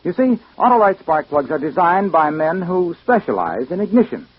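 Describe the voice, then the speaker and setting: Male commercial announcer, golden-age radio. Emphatic sales delivery, animated intonation, dry and muffled old sound. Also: on the high side, normal speed, husky, no echo. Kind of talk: 1940s-50s radio ad pitch. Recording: dull old radio recording.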